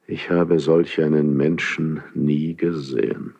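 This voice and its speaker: Aging king, low voice, proud, brooding, mistrustful, weighty verse declamation, measured pauses, 1970s radio play. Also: steady tone, creaky, darkish tone, dull audio, heavily acted. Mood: grave, musing.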